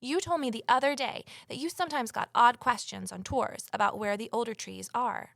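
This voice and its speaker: A determined amateur sleuth woman in an audio drama. Her voice sits fairly high and is recorded close and dry, with an earnest, persuasive way of speaking. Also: a little fast, gravelly, a bit bright, no echo. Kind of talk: audio drama acting and first-person narration.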